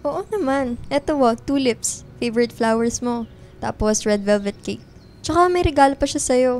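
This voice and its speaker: A woman's voice: on the high side, normal speed, a little mellow, lightly acted. A woman playing a loyal, guarded young best friend with a blunt, natural delivery in radio drama dialogue. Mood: upbeat.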